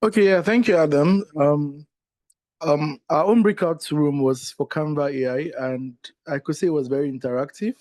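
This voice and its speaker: A man with a Nigerian accent and a soft, relaxed tone reports back from a workshop he helped lead. He talks conversationally and often tags his points with small checking questions. The sound is dry. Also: fairly high pitch, moderate pace, dry recording. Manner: conversational, often adding "right?" and "like". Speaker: workshop breakout facilitator. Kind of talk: informal spoken session report.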